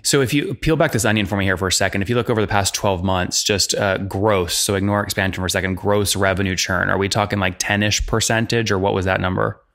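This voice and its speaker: Young man, low-pitched, very quick, sharp bright tone, direct podcast interviewer. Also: fairly even intonation. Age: young adult. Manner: brisk, direct. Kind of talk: probing business interview. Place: small room with bookshelves, video call. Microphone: desk microphone with headset.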